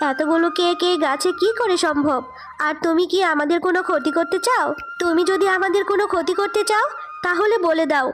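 A woman playing a stern, suspicious mother in a children's cartoon story. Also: extremely high pitch.

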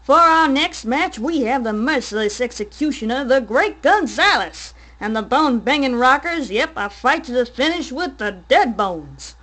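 A woman voicing an excited fight announcer with loud, theatrical introductions. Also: high voice, moderate pace, few pauses.

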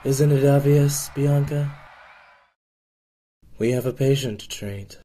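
A man's voice: mid-range voice, slow pace, background noise, lightly acted. A man voicing an upbeat, confident, teasing doctor in a comic fan dub. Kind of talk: dubbed comic dialogue.

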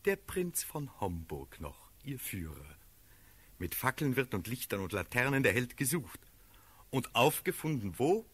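An actor playing a brisk, sardonic count and officer in a classical German radio drama, declamatory and emphatic. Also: male voice, mid-range voice, very animated, a bit bright, slightly rough, full character voice. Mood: reporting, wry.

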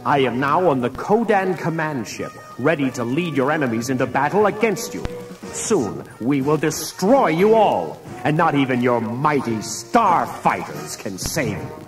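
An actor playing an evil, gloating would-be conqueror, menacing and grandiose, in a children's storybook recording. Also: male voice, medium pitch, big pitch swings, measured pace, over music. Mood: cold menace. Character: evil, gloating son plotting galactic conquest. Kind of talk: children's read-along storybook acting.

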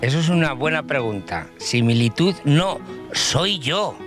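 A man's voice: fairly high pitch, sing-song, unhurried. A middle-aged man from La Mancha, an actor chatting in a casual interview outdoors on a handheld microphone. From La Mancha, Spain. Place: open field outdoors. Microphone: handheld reporter microphone. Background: music.

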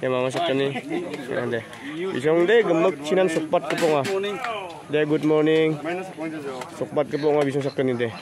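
A man's voice: on the high side, normal speed, pauses often, crowd noise. A man filming a casual walking vlog on his phone at a rural outdoor fair, chatting and greeting people as he goes. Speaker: vlogger. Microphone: handheld phone camera.

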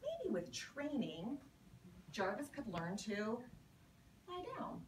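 Animated, high-voiced woman teacher reading aloud. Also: takes it slowly. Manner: expressive, projecting storytelling to a group of children. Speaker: elementary school teacher. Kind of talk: picture-book read-aloud to young children with think-aloud prompts. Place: elementary school classroom, indoors. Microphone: camera across the room. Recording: roomy, noisy classroom, distant camera mic. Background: children's voices and classroom noise.

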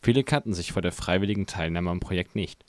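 Very low, dry male news voiceover. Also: fast talker, no room sound. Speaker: news reporter. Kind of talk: scripted news narration.

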